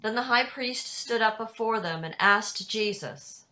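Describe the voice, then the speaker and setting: An older woman reading scripture narration in a measured, deliberate way over a video call. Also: fairly high pitch, pushed and bright. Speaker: narrator in a church dramatic reading. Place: indoors at home on a video call. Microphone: video call computer microphone.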